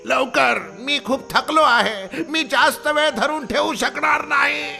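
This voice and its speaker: A man's voice: very high voice, takes it slowly, creaky, over music, exaggerated voice. A man voicing a noble, protective monkey king in a children's cartoon story, earnest, commanding and dramatic. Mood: strained.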